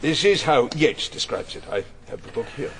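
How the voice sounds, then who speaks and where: Theatrical, wily old English barrister. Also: male voice, fairly high pitch, very animated, normal speed, gravelly. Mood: pointed, ironic. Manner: theatrical, probing, dryly ironic. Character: wily, irascible older male English defence barrister, narrating his case. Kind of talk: radio drama courtroom acting and narration.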